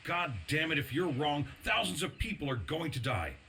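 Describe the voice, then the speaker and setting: Male game soldier: urgent, earnest fighter, sharp forceful tone, very lively pitch, faint noise behind. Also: normal speed. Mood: alarmed, pleading. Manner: tense, forceful, emphatic. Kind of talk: video game cutscene acting.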